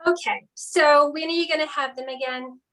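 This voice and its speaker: A woman's voice: high-pitched, steady tone, normal speed, a bit bright. A woman playing an impatient, demanding female customer in an audio drama. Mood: impatient, insistent. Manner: demanding, persistent. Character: impatient, insistent female pet store customer. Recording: slightly distant from the mic.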